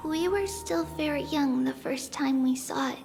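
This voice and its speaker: A woman playing a small, gentle, childlike demigod girl in a video game, speaking in a reflective, unhurried way as if older than she looks. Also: sits high, gravelly. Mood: tender, sorrowful. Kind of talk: scripted video game character acting. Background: background music.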